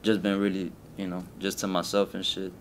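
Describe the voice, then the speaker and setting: A young rapper with a Colombian accent talks in a low, easygoing voice. He stumbles and restarts his sentences as he answers casual questions. A faint rumble runs under the recording. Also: monotone. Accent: Colombian-Spanish. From Colombia, raised in Miami. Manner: laid-back, halting, many restarts and fillers. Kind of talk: casual interview.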